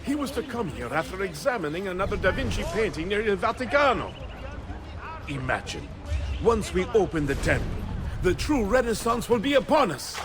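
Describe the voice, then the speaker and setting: An actor playing a fervent leader of a secret mystic brotherhood in a video game, preaching portentously. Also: male voice, sits high. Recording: loud music behind.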